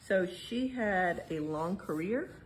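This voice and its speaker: A middle-aged woman reading a picture book aloud to children in a museum hall, spelling out each page title and then explaining it gently. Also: fairly low pitch, lively intonation, slow pace, a little hiss or hum. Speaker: museum storytime reader. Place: indoor museum exhibit hall.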